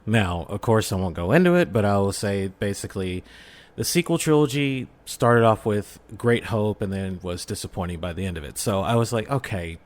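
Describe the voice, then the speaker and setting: A man with a fairly low voice speaks as an interview guest. He tells his story in a casual, conversational way, with the enthusiasm of a keen storyteller. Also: lively intonation, moderate pace. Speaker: podcast guest, amateur voice actor and audio drama creator.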